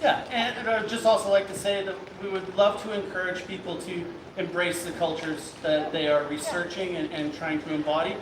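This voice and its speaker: Guy with a pretty high voice, friendly, far from the mic in an echoey room. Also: flat delivery, normal speed, few pauses. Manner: friendly, encouraging, light. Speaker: new prince at a court. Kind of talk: ceremonial remarks and casual exchange. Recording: distant mic in an echoey hall with noise.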